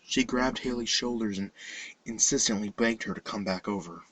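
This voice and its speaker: A man reading a scripted horror story as narrator, in a measured, dramatic storytelling manner. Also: lowish voice, fairly bright tone, distant mic, low-quality recording. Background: music.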